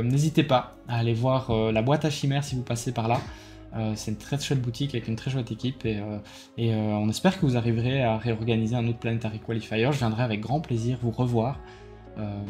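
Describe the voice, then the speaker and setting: A man's voice: mid-range voice, a little mellow. A tired man with a Belgian French accent gives a casual, rambling debrief to his viewers, full of hesitations and enthusiastic thanks. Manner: rambling, full of fillers and restarts, enthusiastic praise. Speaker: card game YouTuber.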